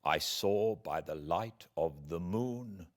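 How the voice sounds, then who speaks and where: A British man reading classic literature aloud as a narrator, in a measured, theatrical, dramatic style. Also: sits low, animated. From Britain. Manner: measured, theatrical delivery with hushed passages and swelling emphasis.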